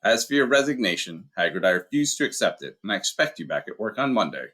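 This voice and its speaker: A man voicing a calm, wise old male headmaster who speaks in a measured, dryly humorous way. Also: lowish voice, husky, exaggerated voice. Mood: firm. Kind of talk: audiobook character voice.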